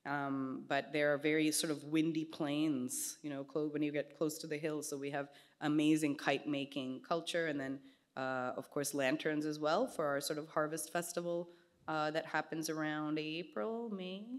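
Lively woman telling stories on stage. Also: deep voice, normal speed. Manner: animated storytelling, gestures a lot, acts out quoted voices. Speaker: artist guest. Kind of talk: casual onstage conversation. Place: small gallery event space, indoors. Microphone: stand mic.

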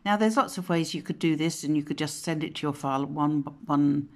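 A woman hosting a casual craft software tutorial at home, chatty and rambling, often correcting herself, with a slightly croaky voice. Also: low-pitched, brisk. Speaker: craft channel host. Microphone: phone camera pointed at a monitor.

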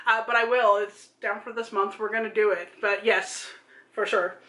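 A woman's voice: mid-range voice, steady tone, moderate pace, pushed and bright. A woman in her thirties chatting casually about books as a vlog co-host, gushing and emphatic. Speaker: book vlogger, co-host. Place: small room at home. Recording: roomy home video sound.

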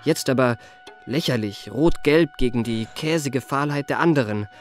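An actor playing a refined, self-enamoured memoirist, narrating a radio play in an ornate, savouring manner. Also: male voice, mid-range voice, normal speed, soft background music, natural voice. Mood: wry.

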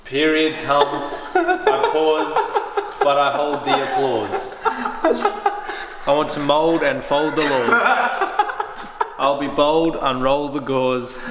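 A man's voice: fairly high pitch, expressive, takes it slowly, pauses often. A young man casually reciting rap lyrics as if telling a story to friends. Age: young adult. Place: large living room indoors. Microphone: camera a few steps away. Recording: very echoey room, loud noise.

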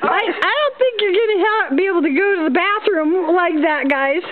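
A woman's voice: extremely high pitch, animated, moderate pace. A young woman behind the camera, teasing her friends and laughing often as she films them in a barn. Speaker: person filming friends. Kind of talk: casual chat behind the camera. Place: indoors in a barn. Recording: tinny lo-fi camera audio with crowd noise.

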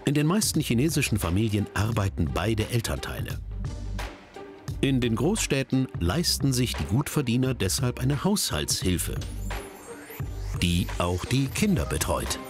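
A man's voice: medium pitch, very animated, a little slow. A man narrating a documentary in a scripted voice-over. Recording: narration over background music. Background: background music.

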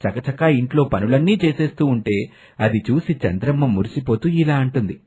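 A man's voice: medium pitch, animated, normal speed, dark tone, breathy edge. A man narrating a scripted moral story as a storyteller.

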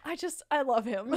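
High, very animated woman gamer. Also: no room sound, clean studio sound. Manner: expressive, slangy, swearing, giggly. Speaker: let's play host and player. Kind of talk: casual gaming commentary. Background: video game music.

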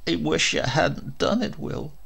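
A man's voice: fairly high pitch, a little slow, vocal fry, fairly bright tone, slightly rough, voice pushed up, full character voice. A man voicing a lonely, worn-down housewife in a story, wistful and dazed. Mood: dully reproachful. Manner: dull, forlorn, strange.

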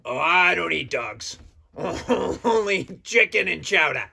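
A man's voice: high voice, big pitch swings, slow pace, creaky, bright, forceful tone, husky, full character voice. A man voicing a laid-back male shark puppet with a casual, blunt manner. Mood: easygoing.

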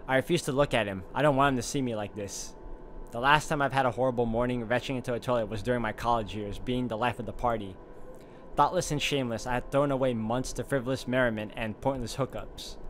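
A man's voice: medium pitch, quick pace, fairly bright tone, slight background noise. A man narrating a visual novel aloud, breaking off for wry comments, with game music playing. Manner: wry, quips between narration. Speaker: game streamer.

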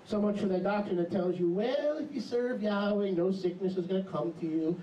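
Animated preacher in an echoey hall. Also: male voice, high voice, brisk, muffled recording. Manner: animated, emphatic, jumps into mocking voices. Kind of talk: sermon. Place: small congregation hall with a lectern. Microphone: handheld mic. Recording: echoey hall, camera far off.

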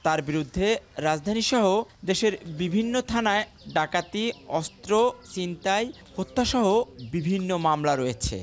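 A man narrating a television news report in a measured way, with sentences that fall at the end. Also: high-pitched, animated. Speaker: field reporter.

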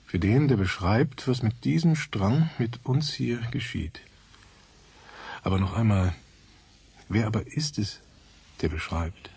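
An actor playing a brooding, philosophical narrator in a radio drama, speaking in an emphatic, deliberate, questioning way. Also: male voice, lowish voice, animated, gravelly, darkish tone, slightly rough, full character voice.

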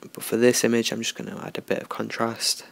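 A man talking through a software tutorial in a relaxed, unscripted way, thinking aloud as he works. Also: mid-range voice, a little fast, creaky, no echo. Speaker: tutorial host. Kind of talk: screen-recorded software tutorial.

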